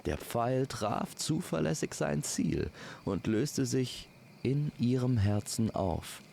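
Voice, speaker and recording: A man's voice: medium pitch, sing-song, slow, vocal fry, warm tone, a little hiss or hum. A man narrating a scripted fairy-tale audio story as its storyteller.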